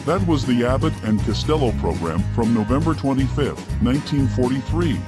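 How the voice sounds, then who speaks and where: A man's voice: medium pitch, expressive, moderate pace, loud music behind the voice. A man hosting a radio program, reading scripted links between shows. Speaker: host.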